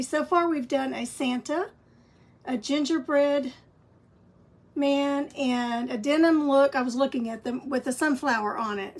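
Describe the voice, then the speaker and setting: A woman with a scratchy voice, teaching a live craft painting lesson in a chatty, encouraging way. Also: sits high. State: scratchy voice, clearing throat. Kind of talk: live-streamed painting tutorial.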